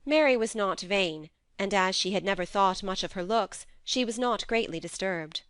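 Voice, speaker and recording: A woman reading a classic novel aloud as a steady, measured audiobook narrator on a close mic. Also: mid-range voice, clean tone, dry recording.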